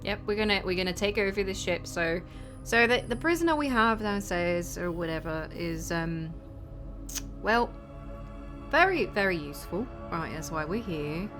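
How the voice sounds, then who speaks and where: A woman's voice: fairly low pitch, expressive, moderate pace. Casual woman player, music behind. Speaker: player in a tabletop roleplaying game. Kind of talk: casual improvised roleplay chat in character.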